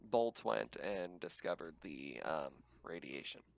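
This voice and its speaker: A man's voice: low-pitched, animated, slow, gravelly, highs cut off. A man acting as game master in casual, informal talk, explaining things and amused, over an online voice call. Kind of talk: casual tabletop game narration and chat.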